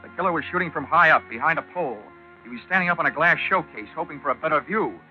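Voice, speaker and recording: An actor playing a wisecracking, hardboiled male investigator in a 1940s radio drama, with a wry, deadpan, tough manner. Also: on the high side, fast, soft background music, close to an everyday voice. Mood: wry narrating. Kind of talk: 1940s radio detective narration and acting. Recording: dull old 1940s radio recording.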